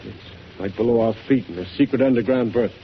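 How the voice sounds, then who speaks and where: A man's voice: mid-range voice, fairly quick, natural voice. An actor playing a nervous, wary criminal henchman in an old-time radio drama, speaking with uneasy hesitation. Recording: muffled old radio recording, faint noise.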